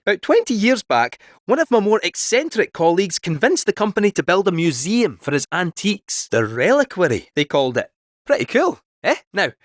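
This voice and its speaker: A man's voice: fairly bright tone, dry recording, clean studio sound, heavily acted. A man voicing a chatty, scheming male robot cat in a game, with a Scottish accent and a jaunty, theatrical delivery. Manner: jaunty, theatrical, swooping pitch. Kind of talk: video game voice acting.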